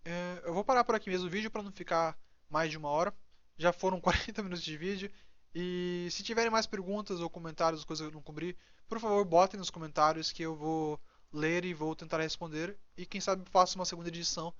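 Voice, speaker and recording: A man's voice: high-pitched, fairly even intonation, quick pace, fairly bright tone, no echo, muffled recording. A young Brazilian man giving casual advice to viewers in a rambling way, with many restarts and fillers, recorded indoors. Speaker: vlogger.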